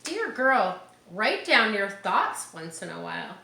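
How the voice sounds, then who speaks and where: Slow-paced, bright-voiced woman reading to children. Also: mid-range voice, big pitch swings, vocal fry. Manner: encouraging, speaks directly to young listeners. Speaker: storyteller reading a picture book to children. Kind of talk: children's read-aloud with casual asides. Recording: roomy echo, faint background noise.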